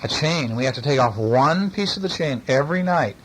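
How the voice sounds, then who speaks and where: A middle-aged man, a father chatting and reading casually to a child in a gentle, playful way, often asking little questions. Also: fairly high pitch, lively intonation, brisk. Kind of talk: casual chat and bedtime story reading to a child. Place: at home, lying down beside a child. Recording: noisy amateur home recording.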